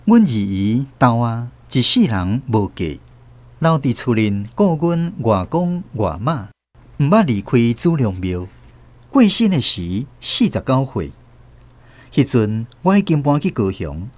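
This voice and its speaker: Radio drama narrator, an earnest nephew, Taiwanese accent, mid-pitched with lively swings. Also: male voice, a little slow. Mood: sorrowful, reflective. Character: earnest nephew recalling his family's sorrows.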